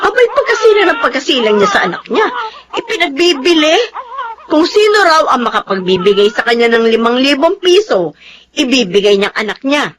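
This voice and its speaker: A fairly high Filipino woman's voice plays a bustling, well-meaning middle-aged aunt in radio drama. She is insistent and very animated, with faint ambient noise behind her. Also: fairly quick. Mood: persuasive, proud.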